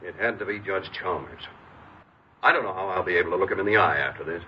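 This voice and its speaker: A man's voice: sits low, fast, natural voice. An actor playing a stern, dismissive middle-aged father, brusque and impatient, in an old radio crime drama. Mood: irritated, dismissive. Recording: muffled, noisy old radio recording.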